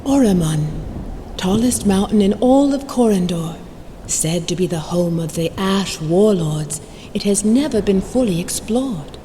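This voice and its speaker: A woman playing a solemn, mystical narrator in a fantasy video game, telling of the realm in a grand, measured storyteller delivery. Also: medium pitch, expressive, dark tone, a little hiss or hum, natural voice. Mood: reverent, wistful. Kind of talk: dramatic scripted fantasy narration.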